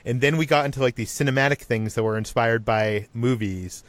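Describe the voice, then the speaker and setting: Male podcast host, medium pitch, tentative and questioning, casual panel chat, very dry recording. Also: brisk, no room sound.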